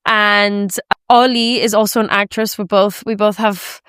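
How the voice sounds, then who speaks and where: A woman's voice: mid-range voice, fairly even intonation, unhurried, a bit bright, smooth, dry recording, studio quality. A woman from Spain hosting a podcast in a casual, chatty way, often dropping "like" into her sentences. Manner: informal, chatty, peppered with "like". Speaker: podcast host. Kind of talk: casual conversational interview.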